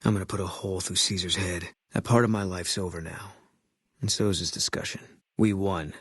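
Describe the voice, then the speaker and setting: An actor playing a terse, guilt-haunted ex-army sniper in a video game, deadpan and clipped, with a low husky voice. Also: male voice, brisk, dry recording, clean studio sound. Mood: bitter, guarded. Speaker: video game companion character.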